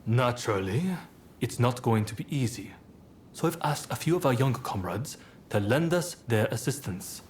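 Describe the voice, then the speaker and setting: An actor playing a composed, persuasive rebel clan leader in a video game, speaking in a measured, polite, formal manner. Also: male voice, medium pitch, lively intonation, slight background noise, close to an everyday voice. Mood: earnest, persuasive. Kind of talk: video game cutscene acting.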